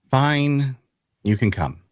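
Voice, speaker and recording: A man's voice: medium pitch, dry recording. A man playing a grumpy, evasive loner in a fantasy audio drama, speaking in a dry, curt, hesitant way. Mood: weary, reluctant. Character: guarded, grumpy, evasive loner male traveler. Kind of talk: dark fantasy audio drama table read.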